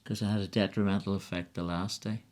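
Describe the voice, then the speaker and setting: A man's voice: low voice, little pitch movement, quick pace, darkish tone. A man who hosts a podcast, chipping in with short, dry, teasing remarks. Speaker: podcast host. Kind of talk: casual podcast chat.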